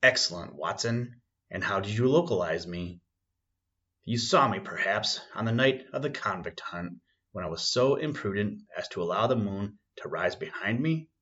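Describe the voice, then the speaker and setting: A man reads aloud as a keen male detective from a classic storybook. The voice is fairly low with a forceful edge. He phrases things formally and precisely, with dry irony, on a slightly dull recording. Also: lively intonation, normal speed. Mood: keen, amused. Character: keen, dryly ironic male English detective.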